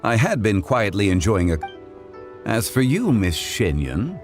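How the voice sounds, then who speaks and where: A man playing a serene, courteous, philosophical old-world gentleman in a video game, formal and eloquent. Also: fairly low pitch, sing-song, unhurried, music in the background.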